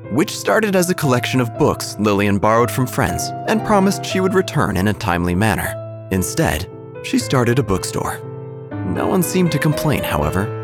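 Male narrator: deadpan, lively pitch, music bed under. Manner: dry, deadpan delivery. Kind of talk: scripted comic narration.

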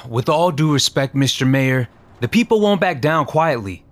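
A man's voice: mid-range voice, animated, a little slow, lightly acted. A man playing an earnest, loyal police chief, deferential but firm, in an audio drama. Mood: cautious. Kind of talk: scripted audio drama acting.

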